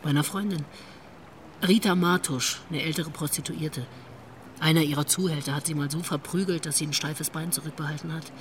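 A woman's voice: deep voice, steady tone, fairly quick, a little hiss or hum, natural voice. A woman acting as a worldly female investigator in a radio drama. She speaks in a wry, knowing storyteller's manner, naturally paced, over faint background ambience.

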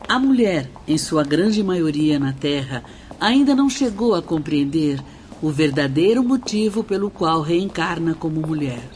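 A woman's voice: low voice, animated, measured pace, darkish tone, a little hiss or hum. An actress playing an earnest female spirit who lectures in a radio play.

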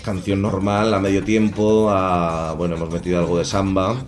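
A man chats in a radio studio as a guest musician. He speaks in a lively, emphatic way. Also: low voice, normal speed, soft background music. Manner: lively, emphatic, laughs easily. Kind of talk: casual radio interview.

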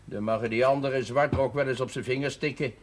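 A man playing a gruff, drunken socialist father, coarse, scornful and blustering, in a television drama. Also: medium pitch, fairly even intonation, brisk, close to an everyday voice. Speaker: actor in a television drama series. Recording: noisy old television soundtrack.

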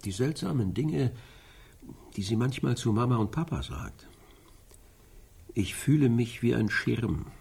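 Very husky, solemn analyst. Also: male voice, medium pitch, lively intonation, a little mellow, full character voice. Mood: grave. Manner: deliberate, emphatic, repeats himself. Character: solemn male analyst dissecting a woman's life, insistent. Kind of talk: German radio play, stylised dialogue.

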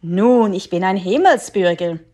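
A woman interpreting a sermon phrase by phrase, measured and following the preacher line by line. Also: mid-range voice, animated, mellow.

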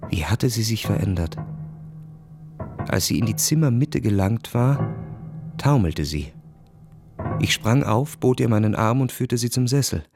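A man's voice: on the low side, expressive, measured pace, vocal fry, pauses often, over music. An actor playing a proud, world-weary young Russian officer who narrates his own story dramatically in a radio play. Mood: restrained, somber.

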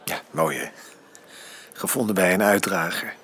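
An actor playing a frail, broken older father in a radio drama, speaking haltingly and stammering through broken-off phrases. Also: low-pitched, steady tone, a bit bright, husky, exaggerated voice. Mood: reassuring, uneasy. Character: frail, broken, big older father, estranged from his son. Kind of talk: naturalistic radio drama acting.

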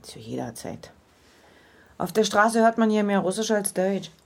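A woman's voice: animated, measured pace. An actress playing a forthright middle-aged widowed landlady in an intimate radio play. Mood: confiding. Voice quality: low, husky, creaky. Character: forthright widowed landlady, fond of rum tea.

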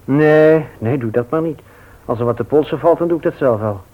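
A man's voice: medium pitch, fairly quick, natural voice. An actor playing a reluctant, sceptical single man with a dry, wry grumble in a Dutch radio play. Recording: tinny old radio recording.

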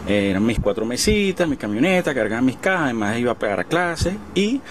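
A man in his forties with a Venezuelan accent, casually recalling things in an interview, halting and repeating words. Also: medium pitch, big pitch swings, slight background noise. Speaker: bookseller being interviewed.

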